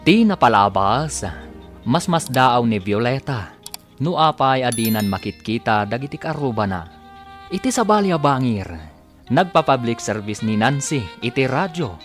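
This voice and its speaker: A man narrating a radio drama as its announcer, with theatrical, deliberate scripted delivery. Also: medium pitch, sing-song, fairly bright tone, soft background music.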